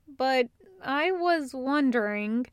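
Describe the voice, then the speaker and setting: A woman's voice: high voice, expressive, takes it slowly, clean tone, dry recording, clean studio sound. A woman voicing a kindly graying man in a story, speaking hesitantly and considerately. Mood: tentative. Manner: hesitant, considerate, filler-heavy. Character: kindly, tentative, graying male friend.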